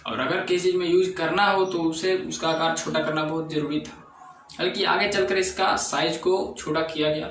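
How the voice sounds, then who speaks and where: A man's voice: on the high side, steady tone, normal speed, a bit bright. A man giving an informal explainer as a presenter, often repeating and rephrasing himself. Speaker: tech channel presenter. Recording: echoey room with background noise.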